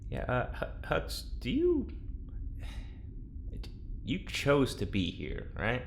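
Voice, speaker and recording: A man playing a nervous, well-meaning research subject in an audio drama, halting and stammering, with a dry wry streak. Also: mid-range voice, huge pitch swings, vocal fry, a little hiss or hum, full character voice. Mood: probing, tentative. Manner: halting, stammering, tentative, dryly sarcastic. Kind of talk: naturalistic audio drama acting.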